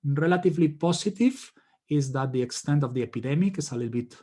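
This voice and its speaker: A man from Spain with a Spanish accent, an academic economist and native Spanish speaker giving informal, measured, explanatory panel remarks. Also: on the high side, expressive, warm tone.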